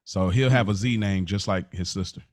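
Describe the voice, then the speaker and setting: A man's voice: sits low, a little fast, dry recording, studio quality. A man co-hosting a podcast in casual, slangy talk, often repeating and rephrasing himself as he explains things. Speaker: podcast co-host. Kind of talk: casual chat.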